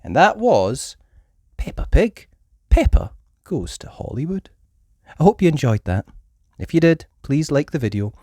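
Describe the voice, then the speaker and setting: Female children's story reader: lowish pitch, unhurried, very expressive, dry close sound. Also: no echo. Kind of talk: animated read-aloud storytelling.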